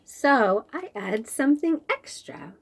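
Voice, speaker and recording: A young woman reading a children's picture book aloud, slowly and clearly explaining words. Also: high-pitched. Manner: slow, clear and expressive, explaining fancy words.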